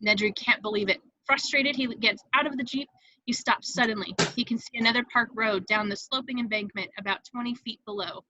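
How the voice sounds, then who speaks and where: Very bright-voiced woman narrating a script. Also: fairly high pitch, steady tone, a little fast, dry recording, lo-fi sound. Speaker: stage directions narrator. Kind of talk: scripted narration at a table read.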